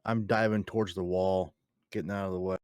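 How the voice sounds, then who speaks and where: A man with a very deep voice plays a tabletop roleplaying game. He casually describes his character's moves, recorded dry and without room sound. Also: fairly even intonation, brisk, a little mellow, clean tone, no room sound.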